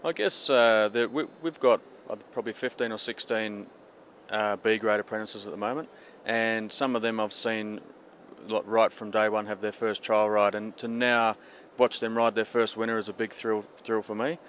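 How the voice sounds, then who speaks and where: Man, trainer, low voice, hesitant, fillers, interview. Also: fairly even intonation, normal speed, a bit bright, a little hiss or hum. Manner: hesitant, many fillers and restarts. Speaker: apprentice jockey trainer. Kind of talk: interview answers.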